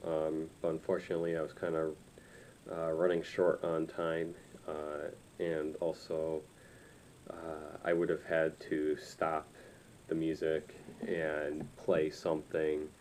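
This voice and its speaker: A man in his twenties with a very low, dark and relaxed voice talks informally to camera as a reviewer. He rambles a little and feels his way through his points. The recording is made indoors with faint noise behind him. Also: takes it slowly. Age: 20s. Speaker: reviewer of audio gear. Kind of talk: casual review chat to camera. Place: small room indoors.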